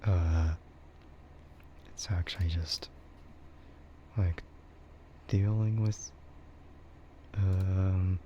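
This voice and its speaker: A man streaming in a casual, rambling ASMR chat, speaking softly and hesitantly in a meandering way. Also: sits low, fairly even intonation, extremely slow, long pauses. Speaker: VTuber streamer. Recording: dull lo-fi microphone with faint noise.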